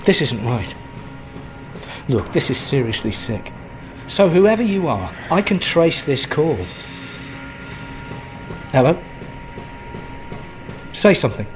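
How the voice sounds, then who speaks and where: An actor playing an anxious, bewildered husband in an audio drama, speaking in a hesitant, urgent, flustered way. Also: male voice, fairly high pitch, sing-song, slow pace, pauses often, faint music behind, poor audio. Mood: rattled, angry. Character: anxious, bewildered husband under growing strain.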